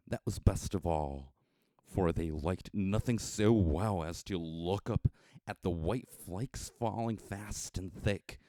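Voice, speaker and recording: An American man narrating a story from a script in a measured, expressive storytelling manner with dramatic emphasis. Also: deep voice, dry recording. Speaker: audiobook narrator.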